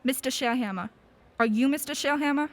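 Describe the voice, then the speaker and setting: A chatty department-store customer in a radio drama, played by a woman. Her voice is fairly high, and she talks as an effusive, brisk mother with plenty of emphasis. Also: lightly acted. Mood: enthusiastic. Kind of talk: radio play acting.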